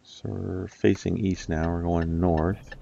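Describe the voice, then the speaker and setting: Deep gravelly guy with a stuffy nose rambling over a game, dull lo-fi sound, faint music behind. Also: steady tone, takes it slowly, dark tone. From United States. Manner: rambling, with many ums and uhs. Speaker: let's play host. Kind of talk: casual gameplay commentary.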